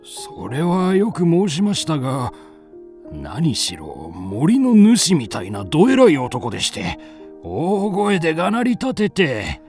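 An actor playing a timid, flustered servant in a radio drama, hesitant and deferential. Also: male voice, a little high, huge pitch swings, takes it slowly, a little mellow, faint music behind, exaggerated voice.

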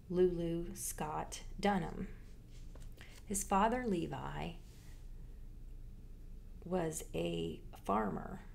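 Low-voiced woman giving a slide talk. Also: gravelly, a little mellow, a little hiss or hum. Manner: unhurried, informative, with frequent fillers and restarts. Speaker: library genealogist. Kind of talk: narrated slideshow presentation. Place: library presentation.

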